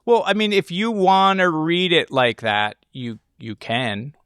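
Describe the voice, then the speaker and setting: A man co-hosting a casual film discussion, explaining his ideas in a halting way as he thinks aloud. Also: a little high, a bit bright, clean tone, no echo, clean studio sound. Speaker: podcast co-host.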